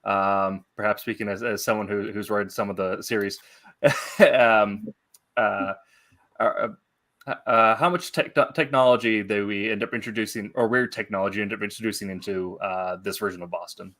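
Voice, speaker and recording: A man with a fairly low voice hosts a casual interview. He phrases his questions haltingly, feeling his way toward each point. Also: steady tone, a bit bright. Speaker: show host.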